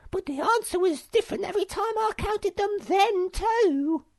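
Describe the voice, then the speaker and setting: A playful female monkey with a high, airy voice, light and scatterbrained. Her lines float up far above a normal voice with a rough edge left in, from a storybook read aloud. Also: expressive, moderate pace.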